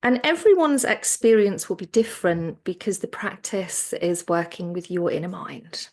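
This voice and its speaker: A woman teaching guided relaxation, speaking in a soothing, gentle way with phrases that trail off softly. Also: fairly low pitch, lively intonation, normal speed. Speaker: yoga nidra relaxation teacher.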